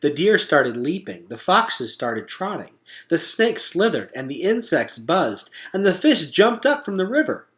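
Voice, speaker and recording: Bright-voiced man reading a storybook aloud. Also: on the high side, sing-song, a little slow. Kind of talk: expressive storybook narration.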